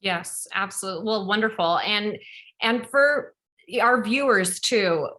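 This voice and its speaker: A woman's voice: medium pitch, measured pace, bright, forceful tone. A woman hosting a lawyer interview show, enthusiastic and friendly, affirming her guest often.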